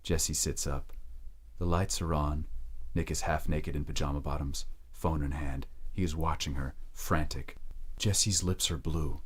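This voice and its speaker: A man's voice: very deep voice, fairly even intonation, a little slow, breathy edge. A man narrating an audio drama from a script.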